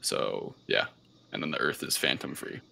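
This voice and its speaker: A man co-hosting a podcast, discussing a film casually in a measured, hesitant way. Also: very deep voice, creaky, sharp tone, a little hiss or hum. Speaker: podcast co-host.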